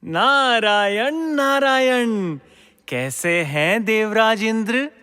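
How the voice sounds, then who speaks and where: An actor playing a serene, teasing divine sage in a mythological audio drama, with a drawn-out, knowing manner. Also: male voice, high-pitched, animated, pushed and bright, clean tone, exaggerated voice. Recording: long hall-like reverb.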